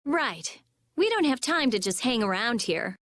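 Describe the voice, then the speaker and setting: A woman's voice: on the high side, sing-song, measured pace, a bit bright. A woman playing a blunt, sarcastic, impatient teenage girl in a video game.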